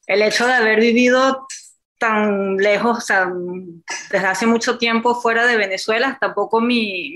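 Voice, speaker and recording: Brief: middle-aged woman, native French speaker, hesitant, mid-pitched, many pauses and fillers. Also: a bit bright. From France. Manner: halting, searching for words, many fillers. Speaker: group participant. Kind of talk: casual personal sharing.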